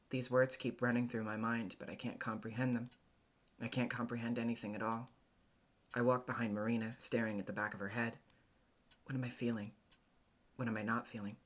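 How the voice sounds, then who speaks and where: Male visual novel narrator, middle pitch, introspective, deliberate, hesitant, acted first-person reading. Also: fairly bright tone. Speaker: voice actor, narrator.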